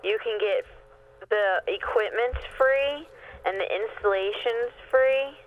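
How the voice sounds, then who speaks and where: A woman's voice: on the high side, takes it slowly, a little hiss or hum. A woman working as a customer service agent, explaining things on a telephone call. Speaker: satellite TV service phone agent. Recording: tinny narrow phone line.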